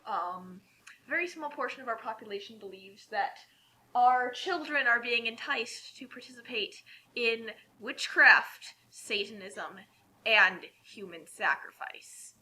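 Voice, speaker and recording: A woman's voice: fairly high pitch, lively intonation, unhurried, pushed and bright, husky, low-quality recording. An American woman co-hosting a casual group chat, talkative and wry. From United States. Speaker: co-host.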